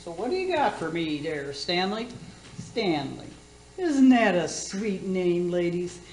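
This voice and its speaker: A woman's voice: lowish voice, big pitch swings, a little slow, a little mellow, a little hiss or hum. Woman acting a theatrical batty older aunt, roomy sound. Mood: teasing, doting. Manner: folksy, theatrical, catty asides. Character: batty, boastful older aunt hosting radio cooking show. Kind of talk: comic community-theater radio play.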